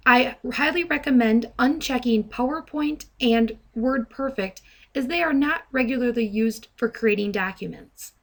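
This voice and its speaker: A woman presenting step-by-step software training in a deliberate, instructional manner. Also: fairly high pitch. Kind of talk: step-by-step tutorial narration. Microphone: handheld dictation microphone.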